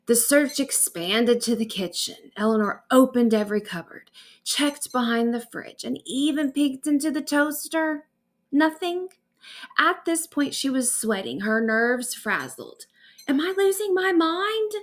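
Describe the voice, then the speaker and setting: A woman narrating a bedtime story aloud in an expressive storytelling manner. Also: on the high side, a little slow. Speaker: author reading own stories aloud.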